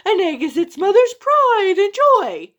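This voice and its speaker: A woman's voice: sing-song, a little slow, a bit bright, full character voice. A woman voicing a fussy female worm who shrilly defends an egg. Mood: indignant. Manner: shrill, indignant.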